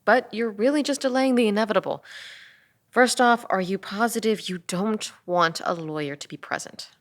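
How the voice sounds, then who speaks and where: A woman's voice: medium pitch, normal speed, close to an everyday voice. A woman playing a tough, weary police officer in a dramatic table read, sardonic and insistent. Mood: firm, formal.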